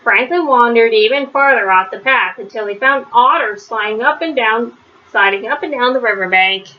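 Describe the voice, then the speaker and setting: A woman's voice: on the high side, moderate pace. A woman reading a children's story aloud as a storyteller. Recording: slightly dull, faintly noisy recording.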